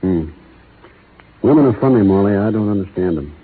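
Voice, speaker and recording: An actor playing a laconic young cowboy who talks in a slow, folksy rural drawl, in a 1930s radio drama. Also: male voice, on the low side, animated, breathy edge. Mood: earnest. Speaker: lead actor in a radio play. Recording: tinny 1930s radio broadcast with faint hiss.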